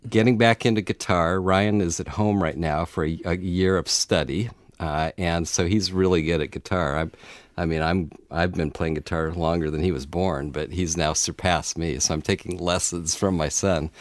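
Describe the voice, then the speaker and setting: A man's voice: low-pitched, dry recording, studio quality. A middle-aged man with an American accent co-hosting a talk show in a casual, conversational, agreeable way. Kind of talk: casual chat.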